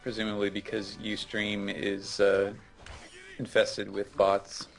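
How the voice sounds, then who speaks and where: A man's voice: fairly low pitch, completely flat delivery, takes it slowly, faint music behind. A man co-hosting a gaming show, making casual comments on the game being played.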